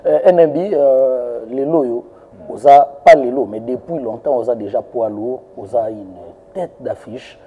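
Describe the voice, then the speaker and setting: A man's voice: medium pitch, measured pace, phone-quality sound, slight background noise. A male journalist asking interview questions, hesitant, with frequent fillers.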